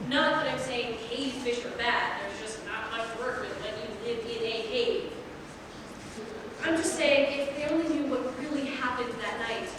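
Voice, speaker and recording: A woman's voice: high voice, noisy background. A woman playing a bitter, sarcastic exile who feels wronged, in a staged fairy tale play reading. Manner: sarcastic, indignant. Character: bitter, wronged young exile hiding in a cave. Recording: very echoey hall, far off, music behind.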